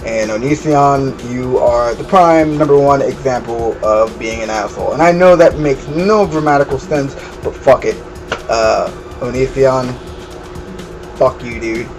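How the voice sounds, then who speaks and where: A man's voice: medium pitch, a little slow, music in the background. A man ranting with heavy swearing and stumbling repeated words. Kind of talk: ranting to camera.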